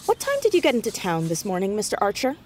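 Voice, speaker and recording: Teen girl sleuth, highish and very lively, earnest and nosy, audio drama with background ambience. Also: fast, a little hiss or hum. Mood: inquisitive. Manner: inquisitive, earnest. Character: curious, upbeat fourteen-year-old girl amateur sleuth. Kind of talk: naturalistic audio drama dialogue.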